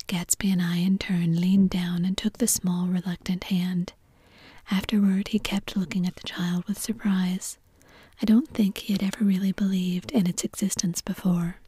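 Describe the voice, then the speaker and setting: A woman reads a novel aloud entirely in a whisper, unhurried and soft. Her breathy whisper sits low and mellow, right against the mic. The sound is dry, with no room around it. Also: flat delivery, no room sound. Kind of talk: whispered ASMR reading of a novel.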